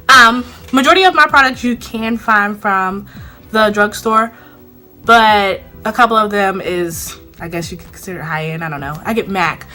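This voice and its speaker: A woman's voice: medium pitch, pushed and bright. A young woman vlogger chatting casually through a makeup tutorial, a bit congested from a cold and rambling with fillers, filmed close on a phone in a small room. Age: young adult. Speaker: beauty vlogger. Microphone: phone camera, close up.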